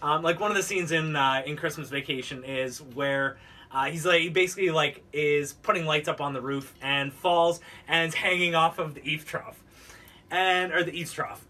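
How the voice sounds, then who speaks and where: A man's voice: on the high side, normal speed, bright, forceful tone, far from the mic. A man co-hosting a podcast in casual, unscripted chat, rambling along with lots of "like" as filler. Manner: rambling, filler-heavy with frequent "like". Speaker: podcast co-host.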